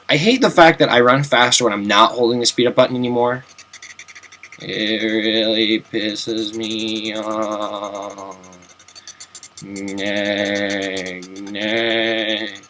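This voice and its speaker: A man's voice: lowish voice, fairly even intonation, very slow, pauses often, sharp tone, a little hiss or hum. Chatty young man narrating gameplay. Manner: chatty, self-mocking, jokey asides. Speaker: let's play commentator. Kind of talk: casual gameplay commentary.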